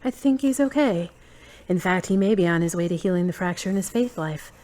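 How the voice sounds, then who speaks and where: A woman playing a wry, thoughtful grown daughter in an audio drama, measured and dry. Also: fairly low pitch, expressive, mellow, natural voice. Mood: guarded, unsure. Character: wry, guarded adult daughter, thoughtful and independent.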